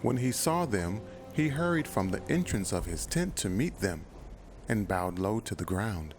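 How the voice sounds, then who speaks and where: Guy reading bible stories, calm and measured, music playing under. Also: fairly low pitch. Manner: steady, measured storytelling. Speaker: narrator. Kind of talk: scripted scripture reading. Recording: voice-over with music behind.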